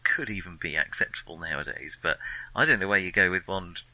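Low-pitched, bright-voiced man chatting. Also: brisk, dry recording, poor audio. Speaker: film discussion podcast co-host. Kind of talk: casual chat.

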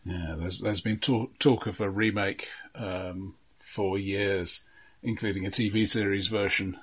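A man co-hosting a casual film podcast, talking in a measured, analytical and somewhat halting way. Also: sits low, fairly even intonation, slightly rough.

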